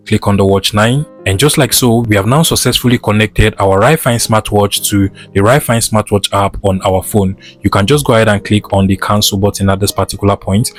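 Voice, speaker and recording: A man presenting a tech tutorial in step-by-step narration, with an instructional, methodical way of talking. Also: lowish voice.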